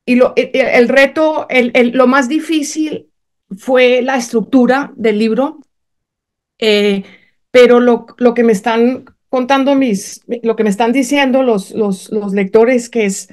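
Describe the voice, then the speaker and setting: A woman's voice: fairly high pitch, fairly even intonation, a little slow, a little mellow. A woman whose first language is German, speaking hesitantly with many fillers and restarts as an author being interviewed. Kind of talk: conversational interview.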